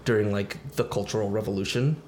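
A man's voice: fairly low pitch, steady tone, quick pace, very noisy. A man born in Taiwan, a podcast guest talking casually with plenty of fillers and restarts. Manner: chatty, lots of fillers and restarts. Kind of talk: casual chat.

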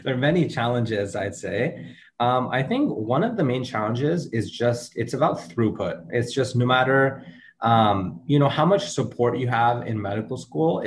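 A man's voice: medium pitch, a little hiss or hum. A young man whose first language is Urdu, answering interview questions in an earnest, casual way with many ums and restarts. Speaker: interview guest, medical student.

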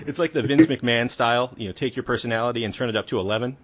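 A man's voice: mid-range voice, racing, fairly bright tone, muffled recording, a little hiss or hum. A man working as a podcast interviewer, asking questions in a conversational style.

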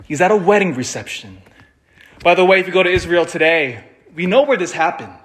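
Priest preaching, measured and emphatic man. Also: sits high, sing-song, a bit bright. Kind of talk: scripture reading and conversational homily.

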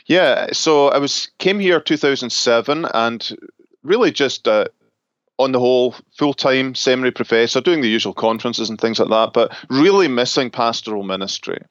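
A middle-aged man with a Scottish accent speaks in an easy, reflective way, pausing and restarting now and then. The recording is close and dry, with a slightly muffled, dull top end. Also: medium pitch, dry recording. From Scotland. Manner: thoughtful, with small laughs and restarts. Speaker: pastor and seminary professor. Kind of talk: relaxed interview conversation.

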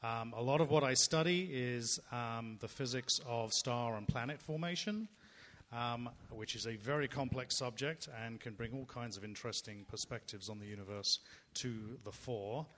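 A man's voice: mid-range voice, normal speed, fairly bright tone, a little hiss or hum. A man from England introducing himself on a panel, talking with many hesitant ums. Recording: reverberant hall.

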